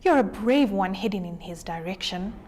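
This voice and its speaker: Timid storybook tortoise voiced by a woman: hushed, trembling, whispery delivery. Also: medium pitch, lively intonation, moderate pace, natural voice. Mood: fearful warning. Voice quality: whispery, shaky. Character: timid, shaky tortoise peeking from its shell.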